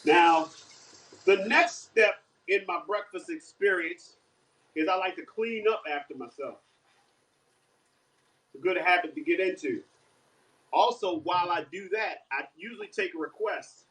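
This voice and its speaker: A man with a fairly high voice chats easily while he cooks, laughing often and slipping into bits of playful song. He stands some way from the mic, and the kitchen around him sounds echoey. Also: unhurried, pauses often, fairly bright tone. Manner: chatty, laughs often, breaks into playful singing. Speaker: guest live streamer and singer. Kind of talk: casual live-stream chat while cooking. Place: home kitchen. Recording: echoey room, voice far from mic.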